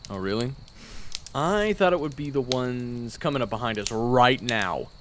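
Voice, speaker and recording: A man's voice: medium pitch, lively intonation, unhurried, slight background noise, voice pushed up, close to an everyday voice. Man doing a cocky teasing swordsman. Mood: sarcastic. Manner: teasing, wisecracking. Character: teasing young male swordsman, cocky and playful. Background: background music.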